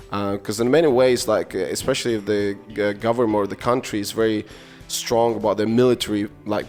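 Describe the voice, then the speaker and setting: A man's voice: lowish voice, darkish tone. A man with a Latvian accent hosting a casual podcast conversation, rambling and searching for words as he puts his questions and thoughts. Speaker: podcast host. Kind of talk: casual interview chat.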